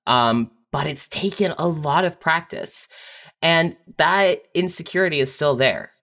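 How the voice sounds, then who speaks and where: A writer talking frankly and casually as a podcast guest, animated when quoting others. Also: mid-range voice, a little slow. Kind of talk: candid casual conversation.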